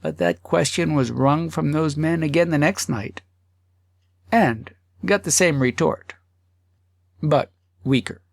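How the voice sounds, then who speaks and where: A man reads a humorous literary tale as an audiobook narrator. His voice sits a little high. He phrases deliberately and with expression, pausing between phrases, in a very dry, close sound. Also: animated, gravelly, dry recording.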